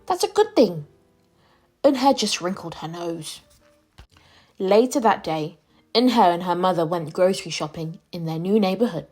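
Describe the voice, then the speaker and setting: A woman reading a children's storybook aloud as a storyteller, with expressive, clearly phrased narration. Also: on the low side, normal speed, faint music behind.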